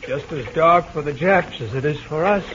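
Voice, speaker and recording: An actor playing a wry, game army sergeant with colloquial dry humour in a 1940s radio serial. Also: male voice, on the high side, normal speed, natural voice. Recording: muffled old radio, faint noise.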